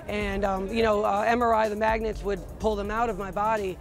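A middle-aged man with a high voice, speaking matter-of-factly in an interview, with frequent fillers. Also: little pitch movement, brisk, soft background music. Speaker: record holder. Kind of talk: interview monologue. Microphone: lapel.

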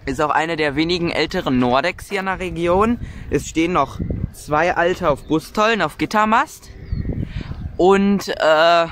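Rambling man filming outdoors, fairly high voice. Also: measured pace, fairly bright tone. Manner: chatty, musing aloud with frequent fillers. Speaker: wind turbine vlogger. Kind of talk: casual spoken commentary while filming. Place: open hilltop field at a wind farm, outdoors. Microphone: phone camera mic, speaker behind the camera. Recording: outdoor wind and ambient noise.

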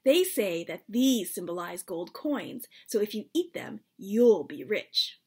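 Woman reading as a coaxing, husky storybook mom. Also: medium pitch, very animated, slow, darkish tone, full character voice. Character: gentle, knowing mother telling family lore. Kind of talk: storybook dialogue.

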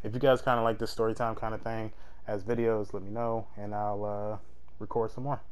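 A man casually telling a story as a game streamer, in slangy, animated talk with some swearing. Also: fairly low pitch, brisk, smooth. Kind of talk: casual storytelling over gameplay.

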